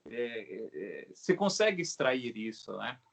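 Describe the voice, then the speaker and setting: A middle-aged man with a Brazilian Portuguese accent explains his craft in a casual, halting way, often checking in with short tag questions. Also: mid-range voice, big pitch swings, creaky. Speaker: craftsman, bow maker being interviewed. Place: video call.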